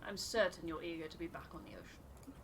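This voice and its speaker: Exacting ship's officer female player voicing, formal, authoritative, lowish pitch, noise in the background. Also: big pitch swings, fast talker. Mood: brisk, formal. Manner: formal, clipped, authoritative wording. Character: stern, exacting expedition officer briefing a subordinate.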